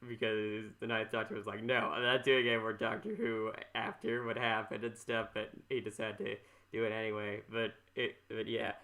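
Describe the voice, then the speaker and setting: A man hosting a podcast in casual chat, talking haltingly and rambling as he repeats himself. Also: on the low side, level tone, bright, forceful tone. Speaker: podcast host.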